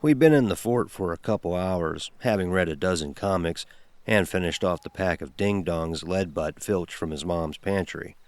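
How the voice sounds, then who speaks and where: A man's voice: sits low, normal speed, no echo, close to an everyday voice. An actor playing a grown man telling a first-person horror story about his boyhood. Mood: reflective storytelling. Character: grown man recalling a frightening boyhood summer. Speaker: narrator.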